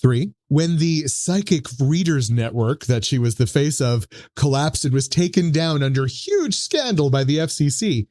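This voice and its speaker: A man's voice: a little high, animated, moderate pace, hardly any pauses, darkish tone. A man hosting a podcast, running a trivia quiz in a casual, chatty style. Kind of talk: casual quiz-show chat.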